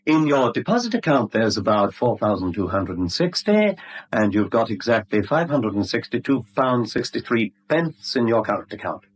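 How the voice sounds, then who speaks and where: Smug, animated gentleman blackmailer. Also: medium pitch, normal speed, slightly rough. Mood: gloating, amused. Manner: suave, wordy, mock-polite, teasing. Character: smug, genial, middle-aged male blackmailer, mockingly courteous. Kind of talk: 1970s radio drama acting.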